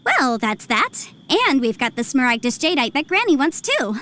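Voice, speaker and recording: A woman's voice: high voice, very animated, normal speed, pushed and bright, exaggerated voice. A woman voicing a breezy, confident, articulate young legal advisor in a video game. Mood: confident, explaining. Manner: breezy, articulate, self-assured.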